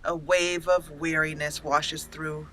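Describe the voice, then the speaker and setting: A woman hosting a let's play, narrating it as an expressive storyteller and speaking for her character. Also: deep voice, slow, low-quality recording. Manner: expressive storyteller, acting out lines. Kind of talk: roleplay storytelling over gameplay.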